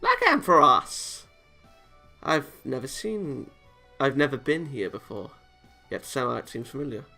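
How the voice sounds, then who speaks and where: Creaky-voiced man doing a let's play. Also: medium pitch, big pitch swings, a little slow, pushed and bright, soft background music. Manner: stumbles over read lines, swears casually. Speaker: let's player. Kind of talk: let's play, reading visual novel aloud with casual asides.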